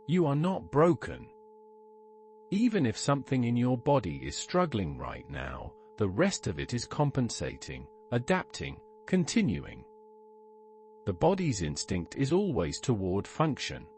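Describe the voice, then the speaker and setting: A man narrating a scripted guided meditation in a measured, instructive way, in short phrases with pauses. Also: mid-range voice. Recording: steady ambient hum tone behind. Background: sustained ambient tone.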